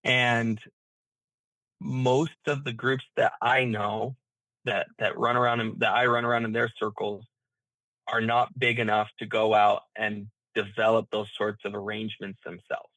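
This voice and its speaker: A man speaking as an interview guest, explaining his business in a halting, thinking-aloud way with repeated words. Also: lowish voice, little pitch movement, bright, forceful tone, no room sound, rough recording. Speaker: interview guest, healthcare management founder.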